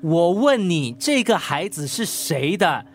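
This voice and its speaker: A jealous husband in a radio drama, speaking with a Singaporean accent. He is suspicious and sarcastic, always confrontational and quick to accuse. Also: a little high, sing-song, heavily acted. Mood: suspicious, accusing.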